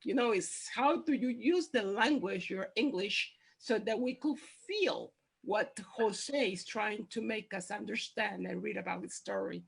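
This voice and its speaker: A woman's voice: medium pitch, a little slow, creaky, husky. A woman writer asking the panel a question and searching carefully for her words.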